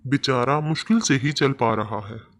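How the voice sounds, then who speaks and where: A man's voice: mid-range voice, moderate pace, a bit bright, natural voice. A man voicing scornful grown village men in a children's storybook, mocking and scolding. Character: scornful adult male villagers. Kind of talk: storybook voice acting.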